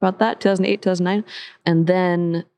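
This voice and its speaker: A woman's voice: on the low side, fairly even intonation, fast, mellow, clean tone. A woman in her thirties from Seattle with an American accent, chatting openly and laughing often in an interview, with lots of "like" and "um". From Seattle, USA. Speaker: parkour coach and program director. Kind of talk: casual interview chat.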